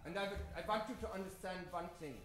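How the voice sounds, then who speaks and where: An actor playing a pompous, scheming male villain with a drawn-out melodramatic delivery in an old-time radio adventure parody. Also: high voice, lightly acted. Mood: smug, coaxing. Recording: roomy stage sound with music behind.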